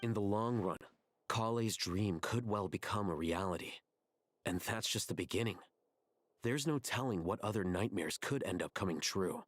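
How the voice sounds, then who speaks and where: A man playing a low-voiced stern commander. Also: normal speed, dry recording, natural voice. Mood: grave. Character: stern, decisive male investigator and commander. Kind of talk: video game voice acting, dramatic dialogue.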